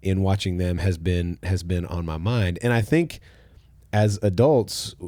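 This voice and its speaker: A man, a pastor, hosting a casual conversational podcast, relaxed and thoughtful, with his phrases often trailing off softly. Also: sits low, measured pace, no room sound.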